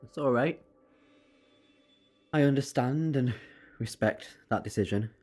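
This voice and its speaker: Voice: medium pitch, measured pace, a little mellow, breathy edge, soft background music. An actor playing an eccentric alien time traveller in an audio drama, wry and quibbling but earnest and persuasive. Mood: wistful. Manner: wry, quibbling, turning solemn.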